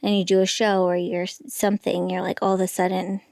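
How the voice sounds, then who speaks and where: A woman's voice: fairly low pitch, little pitch movement, brisk, gravelly, darkish tone, dry recording. A woman in her thirties, a stand-up comic and pregnant guest, chatting casually with a small, light voice, laughing often and trailing into fillers. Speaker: guest comedian. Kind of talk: casual podcast chat.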